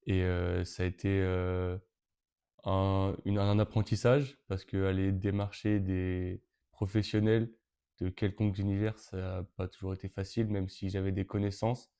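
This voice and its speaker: A man's voice: sits low, level tone, a little slow, dark tone, clear, resonant tone. A young man of nineteen from Brittany, answering interview questions with frequent hesitations and restarts. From Brittany, France. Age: teens. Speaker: interviewee, event co-organizer.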